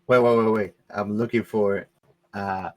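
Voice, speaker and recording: Male podcast co-host, fairly low voice, informal film discussion. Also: fairly even intonation, unhurried, a little mellow. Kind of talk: casual movie discussion.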